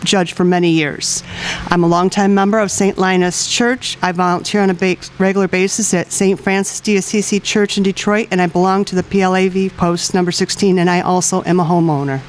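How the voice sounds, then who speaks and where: A woman's voice: on the low side, steady tone, brisk, a little hiss or hum. A woman in her fifties with an American accent, giving a prepared introductory speech as a council candidate. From Michigan, United States. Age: 50s.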